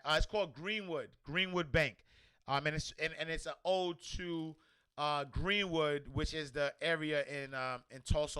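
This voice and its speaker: A man with a New York accent hosting a livestream, loudly and energetically answering viewer comments in casual chat. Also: on the high side, clear, resonant tone. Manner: loud and energetic, reads comments aloud. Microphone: desk microphone.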